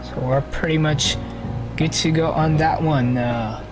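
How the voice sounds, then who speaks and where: A man's voice: a little high, fairly even intonation, a little slow. A man hosting a flight simulator live stream in a casual, chatty way, thinking aloud as he sets up the cockpit and greeting his viewers. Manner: greets and thanks viewers warmly. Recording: music playing under the voice.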